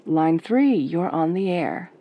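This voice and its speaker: Casting: woman to play sultry night-radio host; gentle, somewhat low, dry teasing wit. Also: lively intonation, slow, dull audio, noisy background, full character voice. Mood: drily patient. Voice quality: soft, velvety. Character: velvety, wry, flirtatious female late-night radio DJ.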